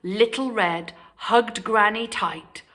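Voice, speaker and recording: A woman's voice: mid-range voice, takes it slowly. A British woman narrating a children's story expressively, speaking as if to young children. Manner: animated, addressing young children. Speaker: storytime reader.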